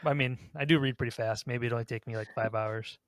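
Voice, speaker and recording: A man's voice: mid-range voice, steady tone, quick pace, dry recording, studio quality. A man talking casually and giving his opinions as a podcast co-host.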